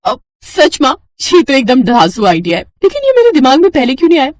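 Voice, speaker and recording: A woman plays an excitable young daughter in a moral story cartoon. She speaks high and dramatically, pouting in slangy bursts, in a dry recording. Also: a little fast, no room sound. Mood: dreamy, excited. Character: whiny, spoiled, excitable young daughter dreaming of mountains. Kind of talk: animated Hindi moral story dialogue.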